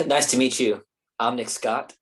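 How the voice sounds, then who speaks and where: A man playing a playful, mouthy stoner actor with a husky voice in a staged play reading. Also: medium pitch, steady tone, a little slow, natural voice. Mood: friendly.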